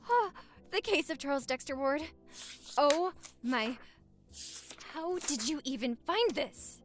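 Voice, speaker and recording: A woman acting a playful, lovestruck newlywed wife in a romantic audio roleplay, teasing and flirting in intimate, easily flustered banter. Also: on the high side. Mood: surprised.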